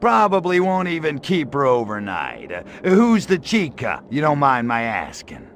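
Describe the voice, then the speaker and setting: An actor playing a brash, lecherous alien invader in a video game, with a cocky, sarcastic, slangy delivery. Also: male voice, medium pitch, huge pitch swings, moderate pace, a bit bright, slight background noise. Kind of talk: video game cutscene acting.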